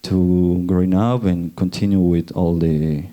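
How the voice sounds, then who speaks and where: A man with a deep, mellow voice speaks in a group discussion. He talks hesitantly and fills his pauses with soft fillers. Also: steady tone, highs cut off. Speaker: festival workshop participant. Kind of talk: spontaneous discussion remarks.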